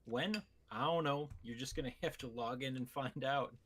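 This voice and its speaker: A man's voice: mid-range voice, normal speed, fairly bright tone, slightly rough. A man hosting a casual podcast, chatting in a wry, bantering way. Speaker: podcast host.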